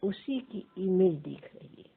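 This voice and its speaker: A woman leads a guided meditation as a teacher, pausing between short, measured phrases in a husky, creaky voice. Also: medium pitch, animated. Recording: thin phone-like sound.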